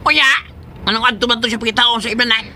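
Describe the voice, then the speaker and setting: Radio drama: comic male Cebuano sidekick, grumbling, high-pitched, piercingly bright, exclamatory. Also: fairly even intonation, fast talker, full character voice. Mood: questioning. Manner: complaining, exclamatory. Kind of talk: Philippine radio drama acting. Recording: faint vehicle noise. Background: car ambience.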